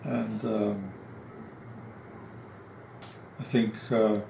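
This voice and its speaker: British-raised man, halting interviewee, unhurried and level delivery, echoey room. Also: fairly low pitch, fairly even intonation, gravelly, dark tone, slight background noise. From Britain. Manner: halting, many pauses and fillers. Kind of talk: hesitant reflective answer.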